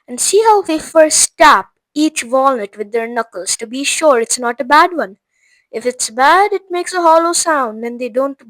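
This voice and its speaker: A woman voicing an eccentric male factory owner who explains things briskly. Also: high-pitched, a bit bright, dry recording, rough recording.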